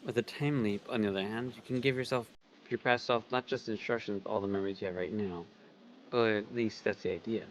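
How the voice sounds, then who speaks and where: A man's voice: on the low side, fairly even intonation, fairly quick, a little hiss or hum, low-quality recording. A man co-reading a visual novel on a livestream, moving between read lines and casual commentary. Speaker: co-reader on a game livestream.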